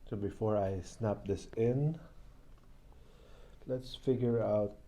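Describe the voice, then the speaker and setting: Slow-talking man with a gravelly, creaky voice. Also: lowish voice, mellow. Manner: thinking aloud while working. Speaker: product reviewer. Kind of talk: casual hands-on unboxing and installation talk. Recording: faint background noise.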